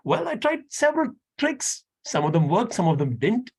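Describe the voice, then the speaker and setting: A man's voice: very high-pitched, big pitch swings, moderate pace, vocal fry. A man giving an impromptu speech at an online club meeting, candid and lightly humorous, with a slight stammer. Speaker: impromptu speaker.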